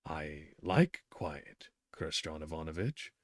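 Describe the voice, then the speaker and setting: A man's voice: extremely low pitch, expressive, slow pace, dry recording, pitched below the performer's own voice, exaggerated voice. A man voicing a timid, awkward middle-aged clerk who speaks hesitantly and stammers. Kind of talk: reading a novel aloud.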